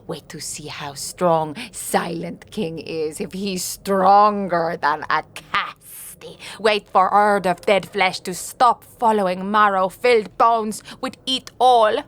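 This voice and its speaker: A woman playing a ravenous, menacing ghoul queen in a fantasy audio drama, speaking slowly in broken, repetitive phrases peppered with French. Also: mid-range voice, natural voice. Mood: frenzied hunger.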